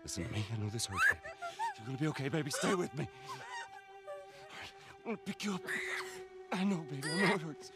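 An actor playing a middle-aged American father in a video game, protective and desperate, gruff and pleading. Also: on the high side, sing-song, fast. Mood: anguished grief. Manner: urgent, pleading, tender. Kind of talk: dramatic video game acting. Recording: loud music behind.

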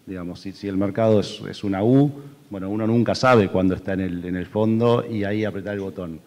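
An Argentine man answers on a conference panel in a measured, hedging way. His voice sits low and sounds mellow. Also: fairly even intonation. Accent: Argentine Spanish. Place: large conference hall stage.

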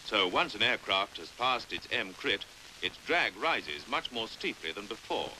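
British male narrator, vintage science film. Very bright, forceful voice with lively intonation, precise delivery, old hissy soundtrack. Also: on the low side, measured pace, rough recording. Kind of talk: scripted narration, 1950s educational film.

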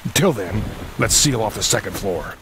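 An actor playing a stern, skeptical sergeant in a mystery game, firm and businesslike, with a gravelly voice. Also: male voice, lowish voice, animated, normal speed, a bit bright, slight background noise, low-quality recording.

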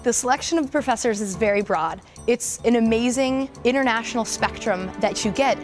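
A woman's voice: on the high side, fairly quick, soft background music. An American woman from the United States, a student, talking enthusiastically about her program. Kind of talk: promotional interview.

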